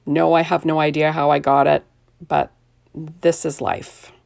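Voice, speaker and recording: An older woman with a rough, hoarse voice, chattily narrating a card-making tutorial step by step. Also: low voice, little pitch movement, a little slow, darkish tone. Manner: chatty, self-deprecating, narrates each step with "there we go". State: hoarse, recovering from illness. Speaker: card-making video host. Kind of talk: casual crafting tutorial narration.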